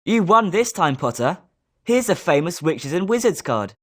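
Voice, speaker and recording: A confident British schoolboy wizard as acted by a woman, a little low in pitch. The melody is very lively, suited to a sporting, competitive character in a video game. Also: normal speed. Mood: congratulatory.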